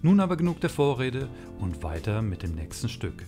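Male announcer, very varied intonation, medium pitch, scripted introduction, clear music bed. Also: very animated, normal speed. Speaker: channel announcer. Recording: music behind the voice.

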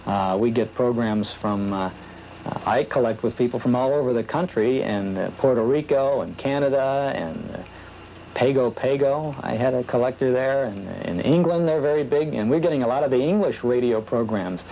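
A man in his thirties chatting casually in an interview, halting and a little self-deprecating. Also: medium pitch, dark tone. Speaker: old radio program collector being interviewed. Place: small office, indoors. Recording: slightly noisy old videotape.

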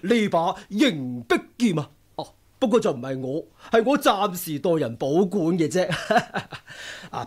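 Genial older male swordsman: husky, animated, Hong Kong Cantonese, radio drama. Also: high voice, heavily acted.

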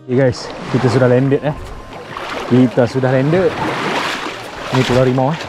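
A man's voice: mid-range voice, steady tone, unhurried. A middle-aged Malaysian man chatting casually to an action camera while out fishing on a boat and beach, upbeat and mixing in English slang. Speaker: fishing vlogger. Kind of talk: casual vlog talk to camera. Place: outdoors on a boat and island beach. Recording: loud background music.

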